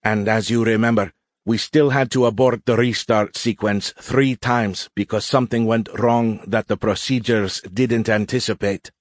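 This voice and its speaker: A man's voice: fairly low pitch, steady tone, moderate pace, no room sound, studio quality, natural voice. A man voicing a weary, blunt young female alien cadet in an audiobook, speaking formally and with emphatic insistence. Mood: exhausted, adamant.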